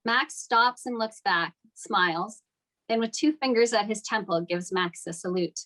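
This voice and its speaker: A woman's voice: medium pitch, a little slow, a bit bright, rough recording. A woman serves as narrator for a script table read. She reads stage directions aloud in a mid-range voice, as scripted narration.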